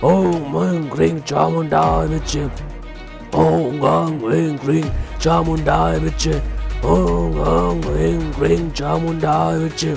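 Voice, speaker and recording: Stern male tantric sage, acted by a man, cartoon horror, very gravelly, solemn, commanding, music behind. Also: fairly high pitch, level tone, measured pace. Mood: solemn chanting. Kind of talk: animated horror story acting.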